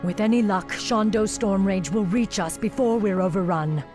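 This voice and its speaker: A woman's voice: mid-range voice, normal speed, music in the background, close to an everyday voice. A woman playing a vengeful, commanding elven warden in a solemn, formal video game cutscene style. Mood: urgent, commanding.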